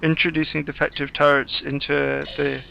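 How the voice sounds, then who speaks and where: A man's voice: a little high, little pitch movement, normal speed, gravelly, highs cut off, a little hiss or hum. A man giving casual playthrough commentary over a video game, repeating words as he reacts to the play. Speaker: gamer and screenwriter commentator. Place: recorded over gameplay with music.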